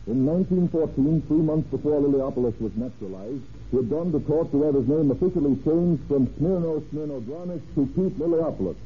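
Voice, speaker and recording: A man's voice: a little high, brisk, hardly any pauses. A man narrating a vintage radio drama in an emphatic, theatrical scripted style. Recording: tinny old radio broadcast with music.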